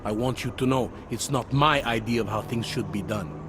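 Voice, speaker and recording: An actor voicing a world-weary, pragmatic police detective in a video game, with a wry, insinuating manner. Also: male voice, medium pitch, moderate pace, background noise, natural voice. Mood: confiding, sly. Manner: wry, insinuating, tags questions with "eh" and "huh". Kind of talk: video game cutscene dialogue.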